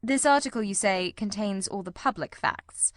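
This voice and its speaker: A woman's voice: medium pitch, moderate pace, dry recording, studio quality, close to an everyday voice. A woman playing a composed, analytical male detective in an audiobook, speaking precisely and courteously. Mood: cool, courteous. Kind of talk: dramatic audiobook dialogue.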